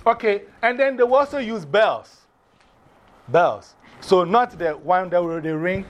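A man from Ghana with a Ghanaian accent, teaching a class in a lively way, often repeating and spelling out words. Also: high voice, measured pace, highs cut off.

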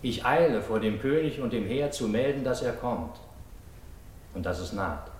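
An actor playing a loyal, reverent royal messenger, declaiming classical radio verse in a formal, earnest manner. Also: male voice, expressive, moderate pace, close to an everyday voice. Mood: formal, dutiful. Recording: echoey, noisy radio recording with rumble.

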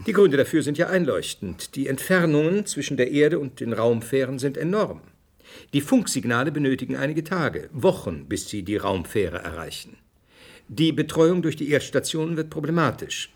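An actor playing a wry, pragmatic scientist who explains things in a husky voice in a naturalistic radio drama. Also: male voice, medium pitch, very animated, normal speed. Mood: explanatory.

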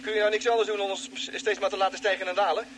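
A man acting a jittery spaceship crewman in a Dutch radio play. He chatters fast in a high voice and stumbles over repeated words. The vintage recording is noisy. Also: little pitch movement, natural voice. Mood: nervous, chatty. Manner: stammering, repeats words when flustered.

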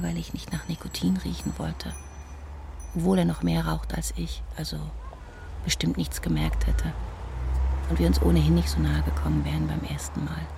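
An actress voicing a wistful, observant woman narrator in a hushed, precise literary radio play monologue. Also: fairly low pitch, vocal fry, a little hiss or hum. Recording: music under the voice.

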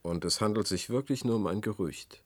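An actor playing a shrewd senior trade union official in a German radio play, formal, eloquent and pointed. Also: male voice, on the low side, a little fast, dry recording, clean studio sound, close to an everyday voice. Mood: probing, insistent.

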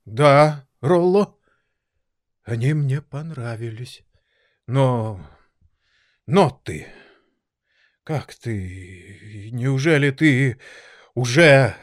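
An elderly maestro pianist played by a male actor, sentimental and given to grand visions. He speaks slowly in a mid-pitched, theatrical radio-play manner, halting with emotion, recorded close and dry. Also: animated, no room sound. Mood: moved, shaken. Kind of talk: audio drama acting.

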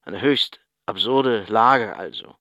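An actor playing an earnest academic expert giving wordy, emphatic interview commentary in a radio feature. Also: male voice, on the low side, slow, pushed and bright, no echo, exaggerated voice. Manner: emphatic, wordy, repeats words for effect.

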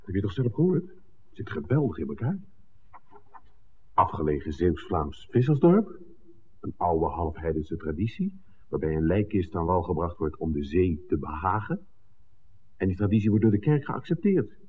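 An excitable, shrewd man in a vintage radio drama, reasoning aloud with emphatic questions. His voice is lowish and husky, swinging widely in pitch. The sound is narrow and tinny. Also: normal speed, pauses often, heavily acted. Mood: agitated, reasoning. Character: John, shrewd excitable filmmaker piecing together a smuggling plot. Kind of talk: 1960s Dutch radio drama acting. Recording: roomy reverb, phone-like old radio recording.

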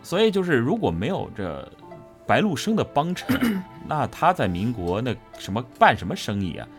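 Male interviewee, voice actor. Animated, emphatic, explanatory, conversational, with faint music underneath. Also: medium pitch, normal speed. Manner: emphatic, repeats phrases for stress. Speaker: voice actor interviewed about his character. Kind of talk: casual explanatory interview answer.

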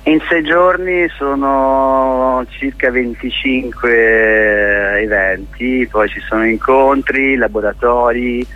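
A man's voice: mid-range voice, steady tone, takes it slowly, hardly any pauses, phone-quality sound. A man explaining things off the cuff as a festival director in a radio interview, often restarting and piling up clauses.

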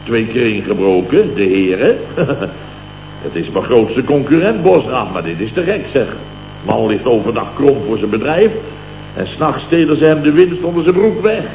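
Blustering boss, muffled recording. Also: male voice, mid-range voice, very animated, moderate pace, darkish tone. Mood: indignant. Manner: clipped, exclamatory, dramatic storytelling with repetitions. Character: blustering, self-important male contractor boss telling tall tales. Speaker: comic radio serial actor. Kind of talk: late-1960s Dutch radio comedy acting. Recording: dull, roomy old radio recording with hiss.

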